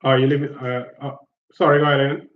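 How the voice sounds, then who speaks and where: A man's voice: mid-range voice, flat delivery, fast. A man from Guinea-Bissau, hosting a casual chat, talks in a hesitant and reflective way, often repeating himself as he gathers his thoughts. His voice sits in the middle of the usual range for a man. The audio is dull and lo-fi, with a roomy echo around him. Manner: hesitant and reflective, repeats words while searching for them.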